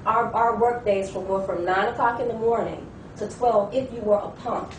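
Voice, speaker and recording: A woman's voice: mid-range voice, normal speed. A woman giving an earnest account in a debate, listing details and repeating herself. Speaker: discussion participant. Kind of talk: testimony in a debate. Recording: echoey room, far from the mic, with clear noise.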